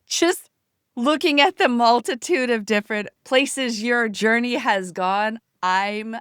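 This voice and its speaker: A woman's voice: fairly high pitch, slow pace, a bit bright, smooth, no echo, studio quality. A woman hosting a conversational interview podcast with an effusive, encouraging manner.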